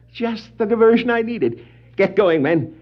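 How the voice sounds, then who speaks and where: High, swooping clownish master criminal. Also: male voice, wildly swooping pitch, slow, full character voice. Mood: gleeful cackling. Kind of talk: 1960s children's record dramatization of a comic book. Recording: muffled 1960s vinyl record.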